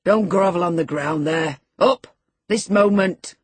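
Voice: mid-range voice, measured pace, slightly rough, no echo. A narrator voicing a menacing, sardonic middle-aged man who commands and sneers, in an audiobook of a classic novel. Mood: shouting, commanding. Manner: sneering, commanding, alternately muttering and shouting.

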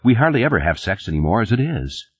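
A man voicing an adult wife in a novel, playful and teasing but quick to bristle. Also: lowish voice, sing-song, husky, muffled recording, voice pushed up. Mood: angry.

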